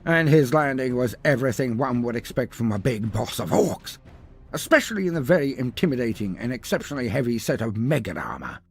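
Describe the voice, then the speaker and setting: A man's voice: mid-range voice, expressive, fairly quick, creaky, slightly rough, faint music behind. A man reading scripted fantasy storytelling in a theatrical, grandiloquent manner with wry asides. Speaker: lore video narrator. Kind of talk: dramatic scripted story narration.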